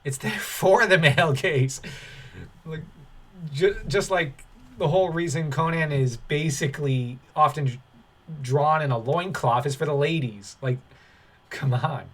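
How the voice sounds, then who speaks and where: A man's voice: fairly high pitch, unhurried, fairly bright tone. A man reading an article aloud as a commentator, breaking off into dismissive, sardonic, off-the-cuff asides. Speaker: online commentator.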